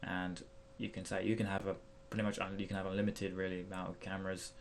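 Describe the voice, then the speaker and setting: A man with a fairly low, creaky voice hosts a software tutorial in a casual style. He thinks aloud as he demonstrates, feeling his way through each step with some hesitation. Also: completely flat delivery, fast, a little hiss or hum. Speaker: tutorial host.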